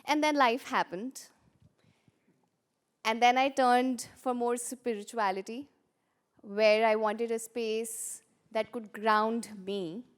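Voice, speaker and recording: Earnest woman from India, high voice. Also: fairly even intonation. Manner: earnest, measured, with pauses and fillers. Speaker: peace educator. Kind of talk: personal introduction at a conference.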